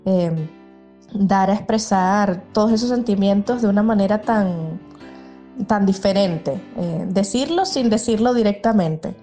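A woman's voice: medium pitch, a little slow, soft background music. Gushing woman in her thirties. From Venezuela. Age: 30s. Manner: warm, gushing fan talk with fillers. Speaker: listener sending a voice message. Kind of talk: recorded testimonial.

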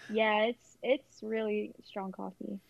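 A woman's voice: medium pitch, gravelly, no echo. A young woman chatting casually on a livestream, talkative, with plenty of fillers. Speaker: stream co-host. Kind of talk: casual chat with friends on a livestream. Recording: dull, dry sound.

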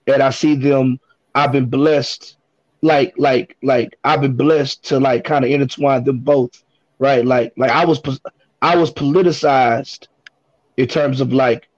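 A man's voice: medium pitch, steady tone, a little slow, no room sound. Hesitant man on dull, dry audio. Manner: hesitant, with frequent ums and repeated words. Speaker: guest hip hop artist. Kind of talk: casual podcast conversation.

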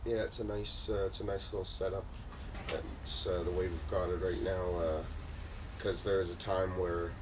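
A man's voice: low-pitched, flat delivery, moderate pace. A young man chatting casually about his music setup, rambling with many fillers. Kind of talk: casual chat. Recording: distant mic with clear background noise.

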